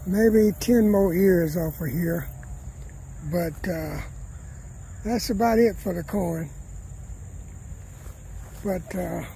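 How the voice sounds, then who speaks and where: A hoarse man from South Carolina, giving folksy gardening advice to his viewers outdoors and repeating his sayings to drive them home. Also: sits high, warm tone, slight background noise, low-quality recording. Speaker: gardening vlogger.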